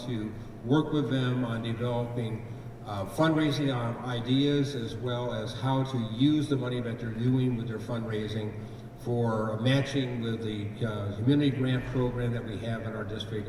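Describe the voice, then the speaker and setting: A man giving a speech at a meeting about a charity foundation, pausing often with ums and uhs. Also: medium pitch, darkish tone, a little hiss or hum. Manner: hesitant, many fillers. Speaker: district foundation chair. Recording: echoey hall from far off.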